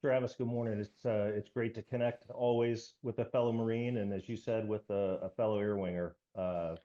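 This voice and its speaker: An American man in his fifties, a podcast guest recounting his past in a genial, measured way with hesitant phrasing. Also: lowish voice, steady tone, warm tone. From United States. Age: 50s. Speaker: podcast guest, military veteran. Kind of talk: conversational interview, recounting. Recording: slightly dull, lo-fi audio.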